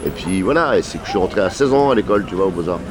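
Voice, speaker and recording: A man's voice: low voice, lively intonation, rapid-fire, background noise. A man from Grenoble talking as a guest in casual chat, joking, interjecting often. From Grenoble, France. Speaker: guest artist.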